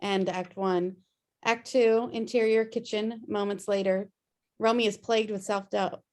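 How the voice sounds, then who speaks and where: A woman's voice: medium pitch, fairly even intonation, a little slow, darkish tone. A woman reading out stage directions as scripted narration at a table read.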